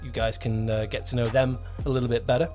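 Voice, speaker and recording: A man's voice: medium pitch, level tone, fast, music in the background. A man hosting a casual producer podcast, talking emphatically and repeating words for stress. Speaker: podcast host, audio drama producer and director.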